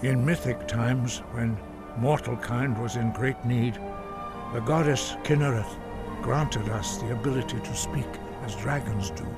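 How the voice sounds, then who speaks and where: An actor playing a solemn old monk master in a video game, teaching in a measured, formal and reverent way. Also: male voice, medium pitch, steady tone, lightly acted. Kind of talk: scripted video game dialogue. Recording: game music loud behind. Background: game music.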